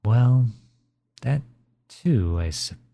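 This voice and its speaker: A man playing a gentle, reassuring father comforting his child in a soft, soothing ASMR roleplay. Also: mid-range voice, animated, creaky. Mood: tender, encouraging. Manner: soft, soothing, unhurried encouragement.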